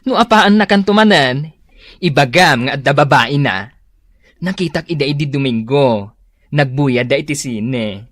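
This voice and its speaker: A woman's voice: extremely low pitch, animated, unhurried, no room sound, full character voice. A woman acting a gossipy but concerned friend in an Ilocano radio comedy drama. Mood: gossiping. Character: gossipy, concerned female friend bringing news.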